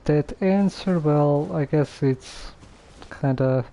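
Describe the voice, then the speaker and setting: A man streaming a game casually, hesitant and halting as he talks and reads text aloud. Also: fairly high pitch, warm tone. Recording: muffled lo-fi microphone.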